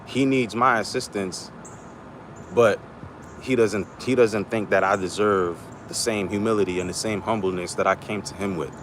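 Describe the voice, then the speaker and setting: Trini guy rambling and cussing on a livestream, lowish voice, traffic noise behind him outside. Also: steady tone, moderate pace. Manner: rambling, blunt, profane. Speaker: livestream host. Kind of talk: casual livestream rant.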